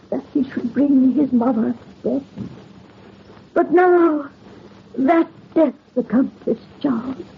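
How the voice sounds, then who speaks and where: Slow-spoken, resolute noble mother. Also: sits high, creaky, heavily acted. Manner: solemn, imploring, archaic theatrical speech. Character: stern, self-sacrificing aristocrat mother, resolute and noble. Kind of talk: old-time radio horror drama acting. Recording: muffled, noisy old radio recording.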